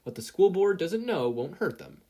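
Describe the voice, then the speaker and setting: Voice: medium pitch, very animated, moderate pace, warm tone, pitched above the performer's own voice. A performer voicing a hearty, fatherly older male superhero mentor in an audiobook style, proud and declamatory. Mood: proud, encouraging. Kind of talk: audiobook-style character acting.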